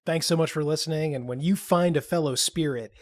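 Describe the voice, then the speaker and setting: Wry man player with a higher voice. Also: fairly quick, dry recording. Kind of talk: banter at a game table.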